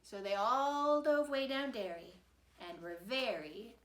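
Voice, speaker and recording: A woman's voice: a little high, takes it slowly, a bit bright, reverberant. A woman narrating a rhyming children's story in a playful, rhythmic singsong. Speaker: storybook reader.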